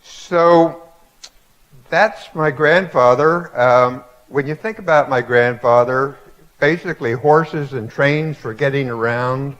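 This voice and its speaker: Slow, halting elderly man lecturing. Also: medium pitch, fairly bright tone, highs cut off. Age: 80s. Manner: halting, reflective storytelling with many fillers. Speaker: guest speaker. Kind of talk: public lecture. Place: auditorium before a live audience.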